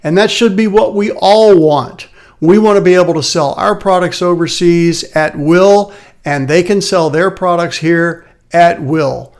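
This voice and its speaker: Tired guy in his office, bit raspy, fairly high voice, talking markets plain and earnest. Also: unhurried. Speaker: financial advisor hosting a live market update. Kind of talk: casual live market commentary.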